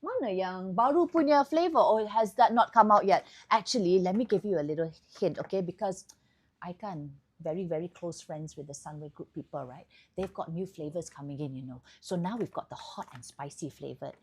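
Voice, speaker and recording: A woman's voice: lowish voice, brisk, husky. A woman with a Malaysian accent hosting a chatty, upbeat promotional tour of a hotel room indoors. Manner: chatty, upbeat sales patter. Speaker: host.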